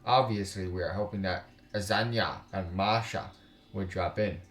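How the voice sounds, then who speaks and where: A man doing casual let's play commentary and reading game text aloud in a halting way, with exasperated, slangy, sweary asides. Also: deep voice, steady tone, a bit bright. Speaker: gaming YouTuber, let's play host. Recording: roomy sound with music underneath.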